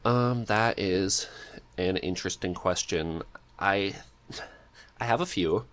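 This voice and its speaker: A man with a low voice talks as a guest in a relaxed interview. He thinks aloud, a little hesitant, in an easygoing conversational way. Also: slow pace. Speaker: podcast guest, script writer and amateur voice actor. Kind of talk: casual interview chat.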